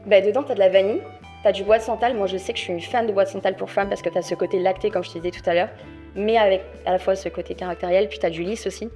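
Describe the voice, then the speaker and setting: A woman contestant pitching a product to judges off the cuff, with lots of fillers and a chatty, persuasive manner. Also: steady tone, racing, mellow, soft background music. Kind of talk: improvised sales pitch.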